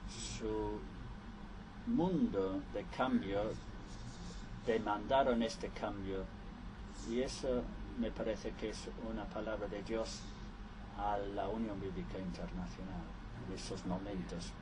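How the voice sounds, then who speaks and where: A man interpreting conference speeches live, halting and restarting often. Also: fairly low pitch, steady tone, noisy background.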